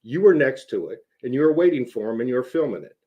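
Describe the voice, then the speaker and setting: A man's voice: medium pitch, sing-song, fast talker, warm tone, dull audio. A man speaking off the cuff in a blunt, emphatic rant, insistently repeating himself for stress and swearing casually. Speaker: online video host. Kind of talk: unscripted rant to camera, addressing a rival.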